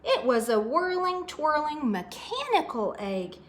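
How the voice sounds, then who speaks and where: A woman's voice: high-pitched, very animated, a little slow. A woman storyteller reading a picture book aloud expressively to children, adding asides about the pictures.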